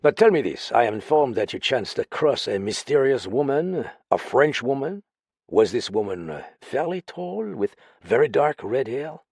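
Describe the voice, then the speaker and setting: A man voicing a suave detective, measured and slyly amused, with soothing turns and wry pauses. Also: medium pitch, big pitch swings, darkish tone, breathy edge, dry recording, clean studio sound, pitched above the performer's own voice. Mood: probing, brisk.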